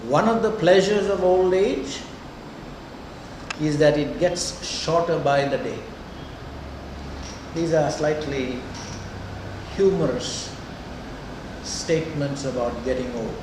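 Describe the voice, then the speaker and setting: Older guy teaching a class, sri w/ twang, super echoey room, mic far off. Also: a little high. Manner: deliberate, conversational, checks in often with "okay" and "huh". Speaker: lecturer. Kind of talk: classroom lecture with questions to students. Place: indoor lecture room. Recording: echoey lecture room, distant mic, noisy.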